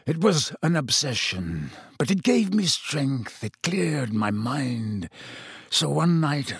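A man reading an audiobook as a gaunt, embittered fugitive, croaky, harsh and intense. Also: mid-range voice, no room sound, clean studio sound. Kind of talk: audiobook character acting.

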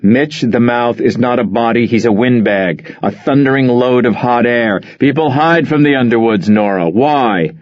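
A man's voice: mid-range voice, normal speed, few pauses, no echo, lightly acted. A man voicing a grumpy, sarcastic middle-aged husband who grumbles and complains. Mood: grumbling rant. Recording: dull, dry studio audio.

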